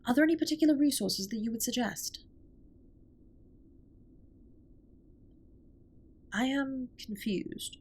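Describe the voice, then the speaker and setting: Woman playing a formal, soft-husky alien spaceship captain. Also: a little high, lively intonation. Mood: curious, questioning. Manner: formal, measured, precise wording. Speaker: audio roleplay performer. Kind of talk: scripted comfort roleplay, intimate one-sided dialogue.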